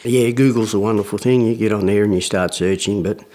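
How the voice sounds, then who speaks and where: A man in his fifties telling his own story plainly, pausing often with fillers. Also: fairly low pitch, dark tone. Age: 50s. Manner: plain-spoken, halting with fillers. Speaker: patient sharing his story. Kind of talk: personal testimony.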